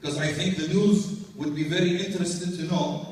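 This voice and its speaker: A man voicing a stern, deadpan immigrant father who talks in a dry, slow, sarcastic way, from a stand-up routine in an echoey hall. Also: a little high, close to an everyday voice. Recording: echoey hall, distant mic, noisy crowd.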